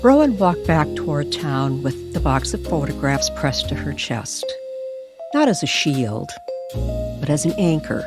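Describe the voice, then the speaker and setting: Slow, expressive woman narrating over music. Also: low voice. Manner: deliberate, pausing between short phrases. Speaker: story narrator. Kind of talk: scripted dramatic narration of an eerie tender tale. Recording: music under the voice.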